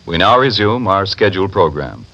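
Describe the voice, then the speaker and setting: Low-voiced man reading a radio news bulletin. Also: animated, pushed and bright. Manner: brisk, formal. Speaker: news announcer. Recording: dull lo-fi 1940s radio broadcast.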